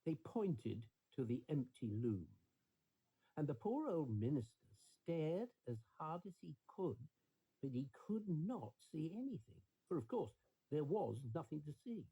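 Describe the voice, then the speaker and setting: Man, bedtime story narration, fairly high pitch, husky, animated intonation. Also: a little slow, rough recording.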